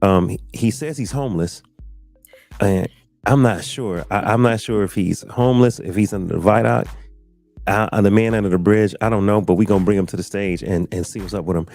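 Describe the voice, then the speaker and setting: Laid-back low-voiced male podcast host. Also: fairly quick, a little mellow, dry recording, soft background music. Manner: laid-back, laughs often, repeats himself. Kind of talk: casual podcast chat.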